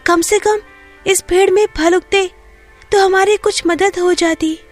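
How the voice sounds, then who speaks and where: A woman's voice: extremely high pitch, fairly even intonation, a little slow, faint music behind. A woman voicing a poor, despairing younger sister in a storybook tale. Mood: plaintive.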